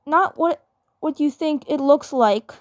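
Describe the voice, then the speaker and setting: Woman voicing a flustered, high-pitched cartoon teenage girl. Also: a little slow, no echo, studio quality. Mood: flustered denial. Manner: stammering, hesitant.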